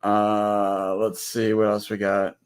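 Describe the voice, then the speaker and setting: A man in his forties co-hosting a casual livestream, teasing his wife with deadpan humor. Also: fairly low pitch, slow pace, smooth. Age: 40s. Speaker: co-host of a ranch livestream.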